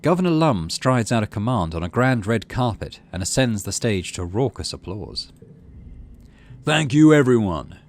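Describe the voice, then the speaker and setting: A man's voice: fairly low pitch, lively intonation, normal speed, few pauses, a little hiss or hum. A man hosting a gaming video, reading story text aloud and adding casual commentary as he plays.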